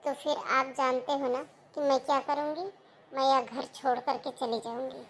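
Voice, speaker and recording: A woman's voice: high voice, steady tone, moderate pace, a bit bright, slight background noise. A woman voicing a manipulative married sister-in-law who talks in a coaxing but blunt way. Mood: insistent. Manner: coaxing, then blunt and cold.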